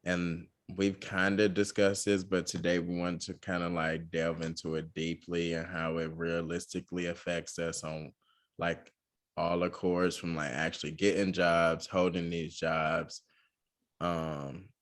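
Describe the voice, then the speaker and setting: A young man co-hosting a podcast, talking casually with lots of fillers and a monotone delivery. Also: deep voice, a little slow. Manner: relaxed, many fillers, says he talks monotone. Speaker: podcast co-host.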